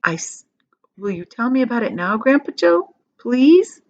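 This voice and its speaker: A woman's voice: on the high side, big pitch swings, unhurried, highs cut off, pitched above the performer's own voice. A woman voicing a curious, polite little boy with an earnest, questioning manner in a storybook reading. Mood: pleading, wondering. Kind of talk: children's storybook read aloud.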